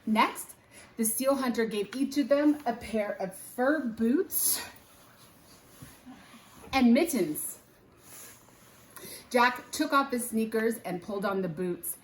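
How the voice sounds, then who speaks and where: A woman reads a children's story aloud at an unhurried, measured pace. Her delivery is expressive and deliberate, like a teacher telling a tale to young listeners. There is slight noise behind her voice. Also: on the high side, reverberant, low-quality recording. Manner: slow, deliberate phrasing with animated character voices and sound effects.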